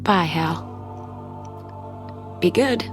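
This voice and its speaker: An actress playing a weary but resolute wife in a radio drama, measured and wistful in manner. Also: female voice, lowish voice, lively intonation, music in the background. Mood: resigned. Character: weary, resolute wife bargaining with a supernatural tormentor.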